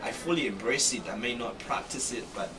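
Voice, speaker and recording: A man from Zambia, answering interview questions in a lively way with many repeated "you know" phrases. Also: medium pitch, a little fast. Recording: distant mic with background noise.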